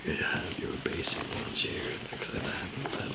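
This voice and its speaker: A man doing an improvised ASMR roleplay as a weary, easygoing survivor, in a breathy, hesitant, rambling murmur. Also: moderate pace, heavy background noise. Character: weary, easygoing male zombie apocalypse survivor.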